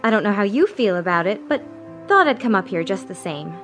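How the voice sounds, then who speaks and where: An actress playing a gentle, sincere, forthright young woman in a western audio drama. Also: medium pitch, big pitch swings, brisk, music in the background. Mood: gentle, sympathetic.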